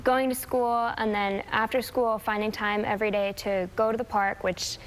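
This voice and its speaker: A young woman in her late teens answering casually and haltingly as an interview guest, modest and a little giggly. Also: mid-range voice, flat delivery, rough recording. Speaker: high school student guest. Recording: faint background noise.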